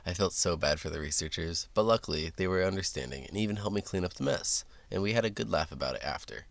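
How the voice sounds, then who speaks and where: A man's voice: deep voice, fast, creaky, dry recording. A man voicing a nerdy talking duck sidekick who recites trivia matter-of-factly for a children's read-aloud show. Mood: sheepish storytelling. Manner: nerdy, matter-of-fact fact-dropping.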